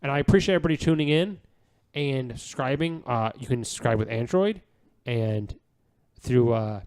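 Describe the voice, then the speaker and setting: A man's voice: mid-range voice, lively intonation, normal speed, a little mellow, clear, resonant tone. A man co-hosting a podcast, chatting casually and enthusiastically in a rambling, gushing way that repeats phrases. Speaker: podcast co-host.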